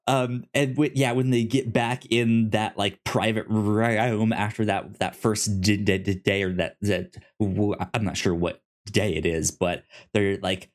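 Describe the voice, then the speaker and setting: A man's voice: fairly low pitch, steady tone, unhurried, hardly any pauses. A man co-hosting a podcast, casually discussing a TV series and often stammering over repeated syllables. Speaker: podcast co-host.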